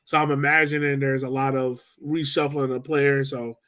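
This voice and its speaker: A man co-hosting a casual sports podcast, talking in an animated way with quick asides. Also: mid-range voice. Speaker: podcast co-host.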